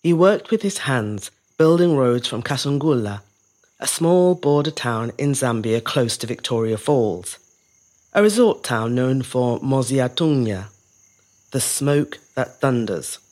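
An actress playing a reflective middle-aged woman born in Zimbabwe, telling her family's story in a measured, lyrical scripted monologue. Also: rumbling low, lively intonation, a little mellow. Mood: tender, reflective. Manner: measured, lyrical, deliberate phrasing. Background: music.

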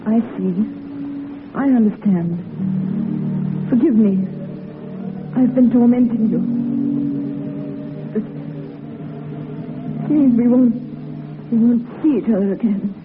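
A woman's voice: fairly high pitch, slow pace, pauses often. A female voice of a devoted, anguished sweetheart in a 1940s radio drama. She is earnest and melodramatic, imploring in her phrasing. The old recording is narrow and tinny, with music underneath. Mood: heartbroken. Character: devoted, anguished woman pleading with her lost love.

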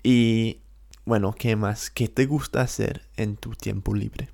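London man, co-host, unhurried easygoing chat with a gravelly edge, English accent. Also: fairly low pitch, fairly even intonation, darkish tone. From England, London. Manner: easygoing, hesitant fillers. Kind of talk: casual conversation.

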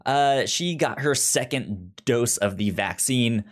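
A man hosts a relaxed podcast conversation. His pitch swings widely as he talks. He stumbles often, repeating words and restarting sentences. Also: takes it slowly. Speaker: podcast host. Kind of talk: casual podcast chat. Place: indoors, in a jacket.

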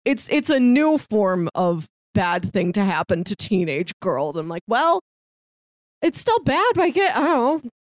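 A woman's voice: medium pitch, big pitch swings, unhurried, dry recording. Casual woman podcaster, dry close sound. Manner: conversational, frequently says like. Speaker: podcast co-host. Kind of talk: casual film discussion.

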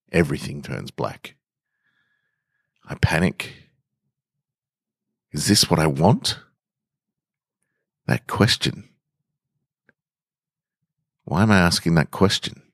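Very deep, slow male storyteller. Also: a bit bright, no echo, clean studio sound. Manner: measured, deliberate, with weighty pauses. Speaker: storyteller reading short fiction on a podcast. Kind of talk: dramatic scripted narration of short stories.

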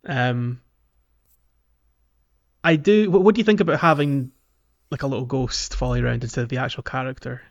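A man's voice: mid-range voice, moderate pace, pauses often. A man co-hosting a podcast, chatting about a video game in a rambling, hesitant, conversational way. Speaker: podcast co-host. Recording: dull lo-fi microphone, faint music.

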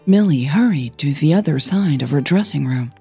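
A woman narrating scripted fiction as a podcast host, in a measured, deliberate way. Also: deep voice, lively intonation, creaky, mellow, faint music behind.

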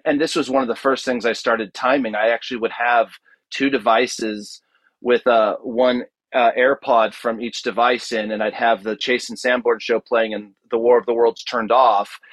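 A man's voice: mid-range voice, dry recording. Man, dry close sound, telling stories. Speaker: podcast guest, audio drama producer. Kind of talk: casual interview, animated storytelling.

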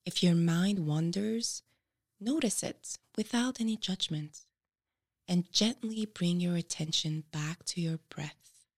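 A woman with a fairly low voice narrates a guided meditation. She speaks very slowly, in short measured instructions, leaving frequent pauses between phrases. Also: darkish tone.

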